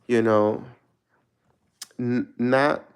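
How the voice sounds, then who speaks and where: A man from Mexico doing a casual tarot reading for viewers, rambling and searching for words, relaxed and laughing at himself. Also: mid-range voice, drawn-out words, smooth. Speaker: tarot reader answering viewer questions.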